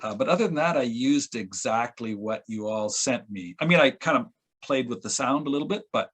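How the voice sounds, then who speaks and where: A man talking casually in a group discussion as a producer, in a hesitant, halting way. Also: medium pitch, lively intonation, a bit bright, breathy edge. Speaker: radio play producer and sound editor.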